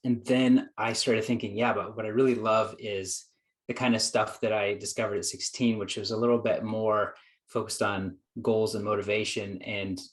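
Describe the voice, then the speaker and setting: A man, a psychologist guest, telling his story in an earnest, reflective way, acting out his own past thoughts. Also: on the low side. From United States. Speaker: guest psychologist and productivity coach. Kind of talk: conversational storytelling.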